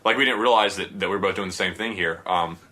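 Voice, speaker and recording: A man hosting a casual podcast interview, eager and chatty, quick to laugh. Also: low-pitched, pushed and bright.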